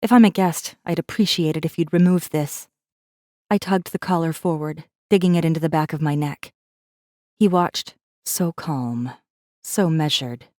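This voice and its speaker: A woman's voice: deep voice, normal speed, a little mellow, no echo, studio quality, lightly acted. A woman playing a defiant, bitter captive rebel assassin who narrates her own story in an intense, brooding way. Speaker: audiobook narrator. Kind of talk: first-person dramatic narration.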